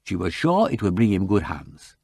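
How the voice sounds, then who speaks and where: A man's voice: low-pitched, sing-song, moderate pace, creaky, darkish tone, breathy edge, dry recording, heavily acted. A man voicing a chatty young Frenchwoman working as a shop assistant, with a French accent and a raised, lilting voice. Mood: eager. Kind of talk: audiobook character voice.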